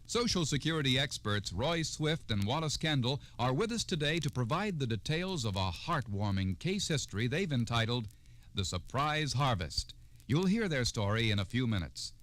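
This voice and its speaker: A man's voice: mid-range voice, big pitch swings, moderate pace, fairly bright tone. A man reading a scripted announcement as an old-time radio announcer. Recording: vintage radio broadcast, music behind. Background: music.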